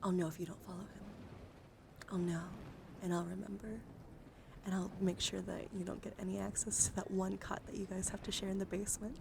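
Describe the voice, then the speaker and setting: A woman's voice: medium pitch, level tone, a little fast, vocal fry. Woman, thirties, mid-range voice. Chatty, teasing ASMR stream host, with noticeable background noise. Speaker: livestream host. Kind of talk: casual ASMR livestream chat.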